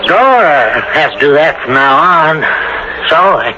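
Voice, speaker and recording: A callous farmer uncle in a 1970s radio drama. He speaks slowly in a fairly high, gruff voice, blunt and folksy. Heavy noise sits over the muffled recording. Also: very animated. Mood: gruff, indifferent.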